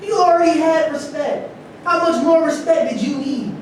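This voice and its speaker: Man playing needling, high-voiced skeptic. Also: steady tone, normal speed. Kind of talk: staged reading of a play. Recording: echoey room, distant mic, rumbly background noise.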